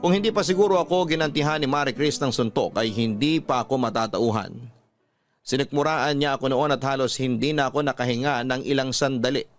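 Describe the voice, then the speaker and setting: A man's voice: medium pitch, no echo, close to an everyday voice. Actor as a remorseful Filipino man, dry sound. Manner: confessional, reflective. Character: remorseful working-class family man recounting his life. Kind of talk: dramatized letter narration.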